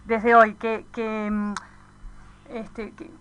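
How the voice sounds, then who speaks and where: A woman's voice: fairly high pitch, completely flat delivery, extremely slow, a bit bright, clean tone, dull audio. An Argentine woman in a casual radio discussion, feeling her way through questions and checking for agreement. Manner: searching, questioning, seeks agreement.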